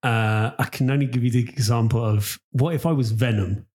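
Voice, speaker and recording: A young man co-hosting a casual podcast, easygoing and quick to laugh, with goofy asides. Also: medium pitch, fairly even intonation, darkish tone. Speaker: podcast co-host. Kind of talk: casual chat.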